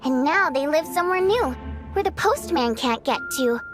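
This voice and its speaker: A woman's voice: high-pitched, lively intonation, moderate pace, music in the background. A woman voicing a sweet, easily embarrassed young girl in an anime-style video game cutscene. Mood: wistful.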